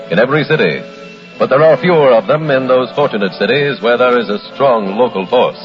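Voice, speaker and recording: Serious american guy narrating old crime radio show, lowish voice, muffled, music behind. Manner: grave, earnest. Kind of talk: formal scripted 1940s radio narration.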